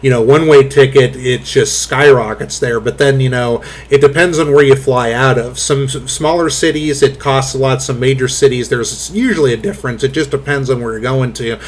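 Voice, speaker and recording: A man hosting a casual commentary chat, rambling through fillers with blunt, crude talk and a booming voice. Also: brisk, few pauses. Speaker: host.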